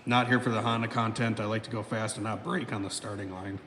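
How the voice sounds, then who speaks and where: A man's voice: on the low side, fairly even intonation, fast, a bit bright. A man in his thirties hosting a casual livestream from his home garage. He reads out chat comments, rambles, swears often and laughs between sentences. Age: 30s. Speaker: livestream host reading chat. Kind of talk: casual live-stream chat. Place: home garage, alone by a car lift. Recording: echoey garage with faint background noise.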